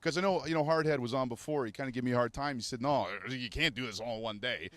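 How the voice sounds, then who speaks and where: A man's voice: medium pitch, fast, no room sound. A man from Montreal hosting a casual outdoor show by a city river, chatty and jokey. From Montreal, Canada. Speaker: host.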